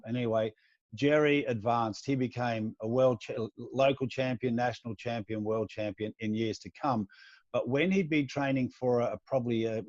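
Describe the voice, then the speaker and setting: Male podcast host, storytelling, acts out quotes, mid-pitched voice, dry muffled lo-fi sound. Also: darkish tone, no echo. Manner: recounting a story at length, acting out quoted lines. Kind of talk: casual interview conversation.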